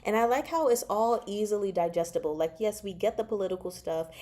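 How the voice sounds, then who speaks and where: A woman hosting a casual book discussion, chatty and expressive, often pausing mid-thought. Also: medium pitch. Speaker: book discussion vlog host. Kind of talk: casual live book chat.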